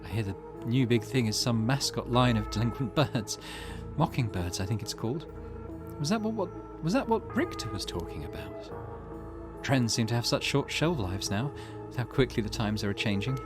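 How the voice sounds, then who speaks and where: A weary, grieving middle-aged mother in a visual novel, read out by a man reflects wistfully and anxiously on a changing world. The pitch is lively and varied, with soft game music underneath. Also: moderate pace, close to an everyday voice. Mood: reflective.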